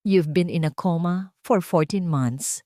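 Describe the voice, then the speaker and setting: A kind male doctor played by a woman narrator speaks in a gentle, measured way. The voice is fairly low and dry, in audiobook style. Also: no room sound, natural voice. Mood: reassuring.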